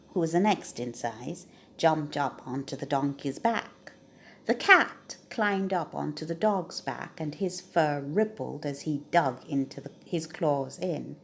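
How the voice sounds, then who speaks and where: A woman reading a children's story aloud with expressive, dramatic emphasis. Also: deep voice, a little hiss or hum.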